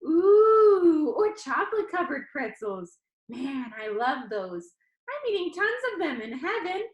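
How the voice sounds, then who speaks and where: A woman's voice: high-pitched, sing-song, measured pace, lo-fi sound, pitched above the performer's own voice. A woman voicing a cheerful, jokey yet sincere teenage boy video-maker in a novel read aloud. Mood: upbeat. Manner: exuberant, playful, earnest. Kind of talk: children's novel read-aloud, character dialogue. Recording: echoey room.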